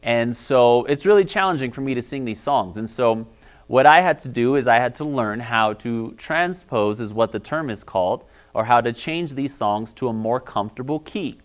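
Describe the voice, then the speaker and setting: A man with a lower, bass-baritone voice explains music theory step by step, like a patient church music teacher. He keeps a friendly, mid-pitched tone and checks in often with "all right?". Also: animated, normal speed. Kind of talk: instructional video lesson.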